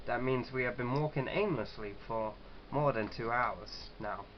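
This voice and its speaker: A man casually hosting a let's-play, chatting and reading game text aloud in a halting, stumbling way. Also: medium pitch. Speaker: gaming channel host. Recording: dull lo-fi mic with clear background noise.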